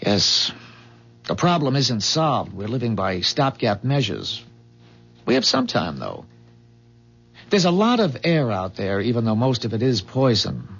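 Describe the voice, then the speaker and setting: An actor playing a calm, earnest man from a dying future, explaining gravely in measured 1970s radio drama style. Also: mid-range voice, full character voice. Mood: grave, matter-of-fact. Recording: dull, muffled 1970s radio drama recording.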